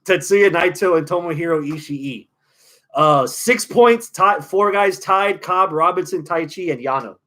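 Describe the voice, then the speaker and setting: Opinionated American man talking wrestling, higher-pitched voice. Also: lively intonation, measured pace, pushed and bright. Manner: opinionated, profane. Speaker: wrestling podcast host. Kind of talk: casual sports analysis chat.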